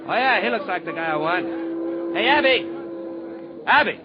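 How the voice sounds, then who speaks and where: An actor playing a rough, threatening gangster in a 1940s radio drama. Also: male voice, sits high, animated, unhurried, loud music behind the voice. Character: menacing gangster, hard man. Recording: muffled old radio recording with heavy hiss.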